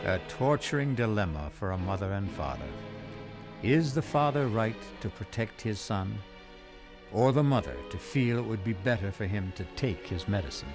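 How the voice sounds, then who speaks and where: A brooding, theatrical mystery narrator for a radio drama. He has a low, creaky voice with dramatic swings, speaking deliberately over music. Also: animated. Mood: grave, intriguing. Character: brooding, theatrical male mystery-story host. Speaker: host and narrator.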